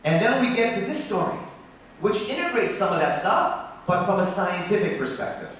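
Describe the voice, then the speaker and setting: An American man, a biology professor, giving a passionate conference talk that turns animated as he makes his points. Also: normal speed, a little hiss or hum. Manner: passionate, rising in pitch when animated. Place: conference hall. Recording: echoey hall from far off.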